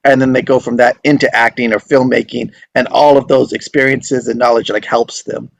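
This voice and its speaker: A man's voice: medium pitch, flat delivery, brisk, creaky, no echo. A man hosting an online table read, chatting in an informal, appreciative way. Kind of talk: casual group video-call chat.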